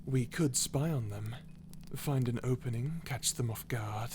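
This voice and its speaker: A woman's voice: very deep voice, a little slow, mellow, slight background noise, pitched below the performer's own voice. A woman voicing an edgy, sly male vampire in a character audio roleplay, with a smug, drawling, sarcastic manner. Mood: smug, dry.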